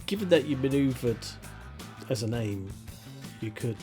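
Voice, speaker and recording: A man with a Yorkshire accent, narrating and explaining rules as game master in a relaxed, chatty way. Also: medium pitch, unhurried, faint music behind.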